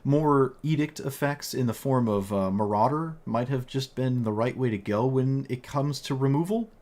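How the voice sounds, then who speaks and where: A man's voice: mid-range voice, moderate pace, a little mellow. A man who is losing his voice after a long stream, talking casually about a card game deck in a rambling way with lots of fillers and restarts. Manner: rambling, with frequent fillers and self-corrections. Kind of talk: casual gameplay commentary.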